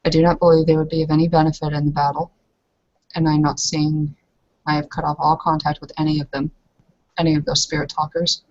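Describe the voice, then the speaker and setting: A woman playing a guarded, resigned young married woman in a roleplaying game, speaking in a formal, measured, stiffly controlled way. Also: low voice, flat delivery, a little mellow, no echo, lo-fi sound. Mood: formal, resolute.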